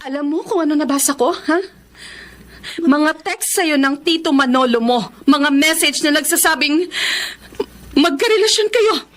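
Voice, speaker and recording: An actress playing a betrayed middle-aged Filipino wife in a radio drama, emphatic and emotional. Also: female voice, high-pitched, lively intonation, measured pace. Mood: stern, accusing. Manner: emphatic, emotional, pressing with repeated questions. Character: betrayed middle-aged wife and bakery owner, wounded, accusing.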